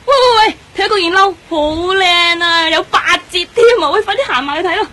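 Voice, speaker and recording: An actress playing a chatty, teasing, excitable young woman in a radio drama, speaking Hong Kong Cantonese. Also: very high-pitched, fairly quick, slight background noise. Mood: excited.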